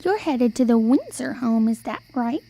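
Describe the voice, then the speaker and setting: A woman's voice: a little high, very animated, normal speed, gravelly, dark tone, no echo, full character voice. A young woman playing a sly, folksy old travelling storyteller in an amateur radio play. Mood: knowing. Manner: folksy, old-fashioned phrasing.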